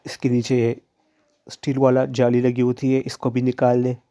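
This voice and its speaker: A man with a mid-pitched, mellow voice narrates a how-to tutorial. His intonation stays very even as he gives plain instructions one step at a time. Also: normal speed. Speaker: phone repair tutorial presenter.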